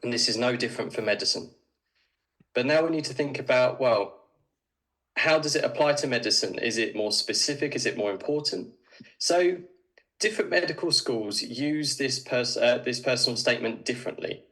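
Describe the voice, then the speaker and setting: A man's voice: mid-range voice, lively intonation, normal speed, a bit bright, low-quality recording. A man, a doctor with a cold and a slightly snuffly voice, presenting to university applicants in a casual online talk full of fillers.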